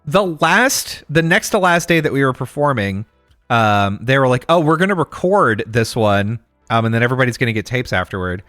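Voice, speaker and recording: A man co-hosting a podcast, telling a story in casual chat, animated and acting out what others said, with many fillers. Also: mid-range voice, brisk, no room sound, clean studio sound, faint music behind. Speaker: podcast co-host.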